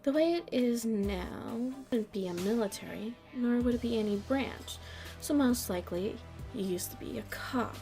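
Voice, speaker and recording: A woman playing a shrewd, proud nightclub headliner in the mafia in an ASMR roleplay, speaking deliberately in a haughty, taunting manner. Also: on the high side, lively intonation, mellow, soft background music. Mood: coolly analytical. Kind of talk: ASMR roleplay audio drama.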